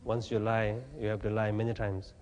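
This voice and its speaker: A man from Tibet, giving a Buddhist teaching in halting, plain English with gentle humor. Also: lowish voice, level tone, in a large hall, a little hiss or hum. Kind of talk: dharma talk to an audience. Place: talk venue with audience.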